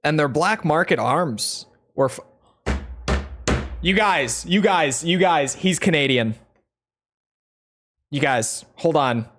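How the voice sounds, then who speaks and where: A man giving playful let's play commentary, chatty and quick to laugh. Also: a little high, expressive, a bit bright. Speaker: video game streamer.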